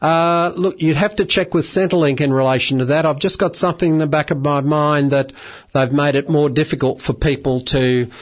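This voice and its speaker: A middle-aged Australian man hosting a radio phone-in legal advice show, measured and polite, with many filler sounds. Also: a little high, steady tone. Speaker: radio phone-in host, solicitor. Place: radio studio.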